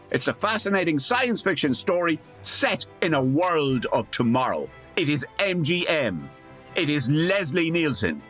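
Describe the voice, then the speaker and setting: A pompous, wry radio show announcer with a high voice that swoops dramatically. He narrates theatrically in an old-time radio comedy, slipping in tongue-in-cheek asides over music. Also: fairly bright tone. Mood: grand, showy.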